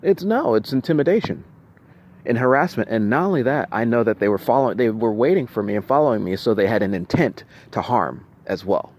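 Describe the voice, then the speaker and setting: A man venting in an unscripted video monologue, halting and repeating himself, with insistent emphasis. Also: medium pitch, lively intonation, a little mellow. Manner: halting, repetitive, with restarted phrases. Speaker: vlogger recounting personal experiences.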